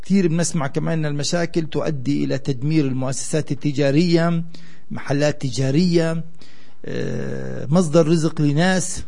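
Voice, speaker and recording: A man's voice: a little high, fairly quick, gravelly, mellow. A man with a Palestinian Arabic accent hosting a live radio call-in show and addressing listeners conversationally.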